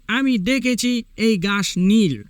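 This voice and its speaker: A man voicing a stubborn, argumentative donkey in a cartoon fable, loud and insistent. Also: high voice, takes it slowly, clear, resonant tone, dry recording, studio quality, voice pushed up. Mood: defiant. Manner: shouting, insistent.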